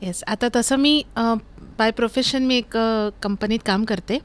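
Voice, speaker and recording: A woman's voice: fairly high pitch, takes it slowly. A woman, speaking as a guest in a casual interview.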